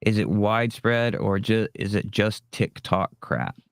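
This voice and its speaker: A man's voice: fairly low pitch, expressive, unhurried, gravelly, smooth, no room sound, studio quality. A man hosting a casual live-streamed discussion, chatting with the panel and reading out questions. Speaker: panel host.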